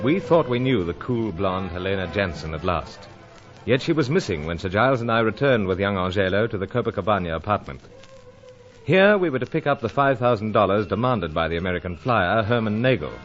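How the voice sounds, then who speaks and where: Gallant British agent-narrator, male actor, lowish voice with highly animated swings, clipped and gentlemanly, serial melodrama. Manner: clipped, old-fashioned, gentlemanly. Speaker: hero and narrator. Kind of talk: dramatic adventure radio serial acting and narration. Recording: dull lo-fi radio serial audio.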